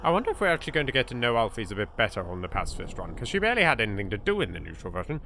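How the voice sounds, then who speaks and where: A man with an English accent hosting a voiced game playthrough, chatty and quick to yelp. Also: mid-range voice, big pitch swings, gravelly, slight background noise. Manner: chatty, bursts into loud laughter and yelps. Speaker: let's play host.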